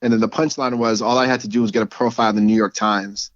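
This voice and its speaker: A man's voice: fairly low pitch, fairly even intonation, fast, no echo. Author guest, man, reflective and searching for words, dull dry recording. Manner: thoughtful, restarts often, light laughs. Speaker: author, writing teacher. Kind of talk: casual conversation.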